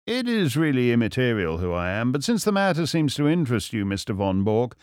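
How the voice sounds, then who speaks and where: A man voicing a suave, self-assured gentleman detective in an audiobook, urbane, measured, wry and formal. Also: medium pitch, big pitch swings, no room sound, clean studio sound, lightly acted. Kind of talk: audiobook character acting.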